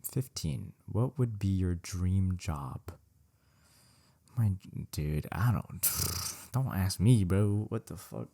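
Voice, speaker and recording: A man's voice: low voice, takes it slowly, gravelly, dark tone. Laid-back young man, profane and rambling. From California. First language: Vietnamese. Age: young adult. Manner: slangy and profane, says "bro" a lot; rambling, self-correcting, laughs at himself. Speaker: solo podcast host. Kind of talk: casual solo Q&A chat.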